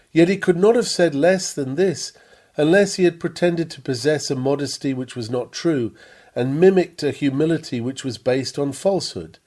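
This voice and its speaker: A man reading an old sermon aloud from a script, with earnest, measured and formal oratory. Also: medium pitch, dark tone. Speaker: reader of a historical sermon.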